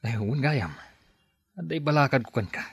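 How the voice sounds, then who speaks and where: A man voices a friend handing out advice in a matter-of-fact way. His voice sits at a middle pitch and sounds dry and close, with faint music behind it. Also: expressive, moderate pace, creaky, breathy edge, no room sound, full character voice. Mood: advising. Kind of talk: Ilocano radio drama acting.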